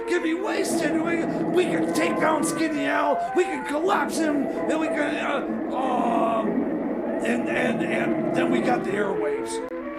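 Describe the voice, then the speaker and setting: A man playing an excitable, scheming time machine mechanic in a radio comedy, stammering and repeating his words in a flustered way. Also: very high-pitched. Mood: pleading, urgent. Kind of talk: improvised radio comedy sketch.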